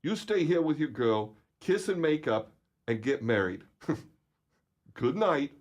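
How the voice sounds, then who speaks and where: An actor playing an easygoing police officer in an old-time radio comedy, calm and wry. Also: male voice, a little high, slow pace, heavily acted.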